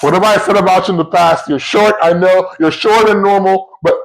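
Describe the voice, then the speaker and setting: A man co-hosting a football podcast, talking in an animated, casual way and often cutting across his co-host. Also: high voice, fast talker, rough recording.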